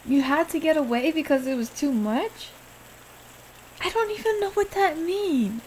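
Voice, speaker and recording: A woman playing a caring, hurt young friend in an intimate audio roleplay, speaking earnestly and haltingly to the listener. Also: high voice. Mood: exasperated, calling out. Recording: steady rain noise over the voice. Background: rain falling on surfaces.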